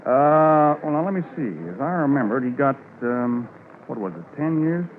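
An actor playing a matter-of-fact police officer recounting cases in conversational 1950s radio drama style. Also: male voice, on the high side, normal speed, narrow, tinny audio, natural voice. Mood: reflective, firm. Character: male police officer calmly recounting an old case. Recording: noisy band-limited 1950s radio recording.

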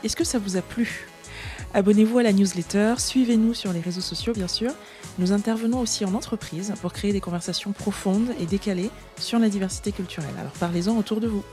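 A woman hosting a podcast, leading a casual interview with quick, engaged interjections. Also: mid-range voice, darkish tone, soft background music. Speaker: podcast host.

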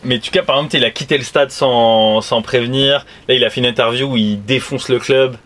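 Fast-talking casual man hosting street chat. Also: medium pitch, fairly even intonation, a bit bright. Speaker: host of a live street current-affairs show. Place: live stream from a van in central Paris. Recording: noisy city street ambience.

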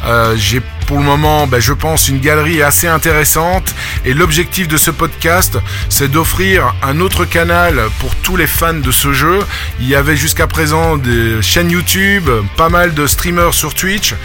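Upbeat French podcast host over music. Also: male voice, fairly high pitch, unhurried. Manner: enthusiastic, hesitant with fillers. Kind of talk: casual podcast interview.

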